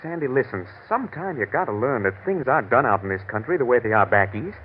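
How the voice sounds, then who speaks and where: An actor playing a stern, protective rancher husband in an old radio drama, firm and urgent. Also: male voice, medium pitch, animated, fast talker, natural voice. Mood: insistent. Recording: noisy, tinny vintage radio recording.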